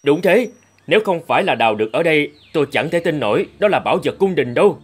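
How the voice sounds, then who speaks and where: A man playing an eager, plain-spoken relic digger in an animated fairy tale. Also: on the high side, fast talker, soft background music, close to an everyday voice. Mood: puzzled.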